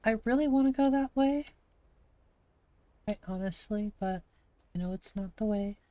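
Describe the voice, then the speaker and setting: A woman from Montana with a gravelly voice, chatting casually to her live-stream audience while she plays a game, thinking aloud and answering chat. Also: medium pitch, moderate pace, dark tone, no echo. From Montana, USA. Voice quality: gravelly, slightly raspy.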